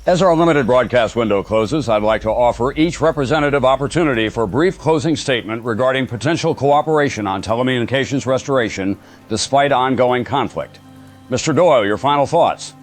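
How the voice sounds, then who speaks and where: A man playing a neutral wartime radio moderator in an audio drama, speaking in a formal, measured, diplomatic way. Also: mid-range voice, faint music behind, close to an everyday voice. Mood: composed, diplomatic. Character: composed, neutral radio moderator during civil war.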